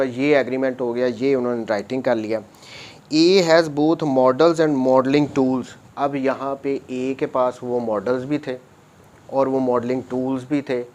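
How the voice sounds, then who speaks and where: A man's voice: medium pitch, a little mellow. A man with a Pakistani accent giving an explanatory law lecture, reading statutes aloud and methodically repeating points as he switches between Urdu and English.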